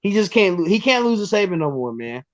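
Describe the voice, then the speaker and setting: A man in his twenties, a guest on a sports podcast, talking casually and excitedly with lots of restarts and ums. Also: sits high, fast talker, no room sound, highs cut off. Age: 20s.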